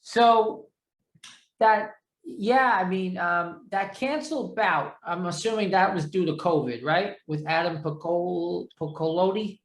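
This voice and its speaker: A man's voice: high-pitched, unhurried. A man with a New York area accent hosting a casual interview, chatty and rambling, often poking fun at himself. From New York or New Jersey area. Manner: chatty, rambling, self-deprecating. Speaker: interview host. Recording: echoey room sound.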